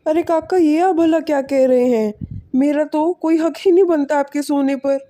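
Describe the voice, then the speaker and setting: A woman voicing a humble, selfless poor village man in an animated moral story, speaking politely and earnestly. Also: sits high, normal speed, lightly acted. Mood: humble, modest.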